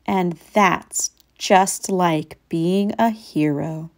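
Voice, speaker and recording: Storybook puppy hero-in-training read by a woman: eager, earnest, childlike, unhurried. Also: fairly low pitch, darkish tone. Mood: cheerful, affectionate. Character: eager young male puppy training as service dog.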